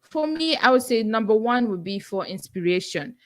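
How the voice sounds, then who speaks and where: A woman's voice: fairly high pitch, lively intonation, normal speed. Nigerian-accented woman hosting a podcast. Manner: earnest, self-encouraging, frequent fillers. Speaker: podcast host. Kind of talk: solo livestream Q&A.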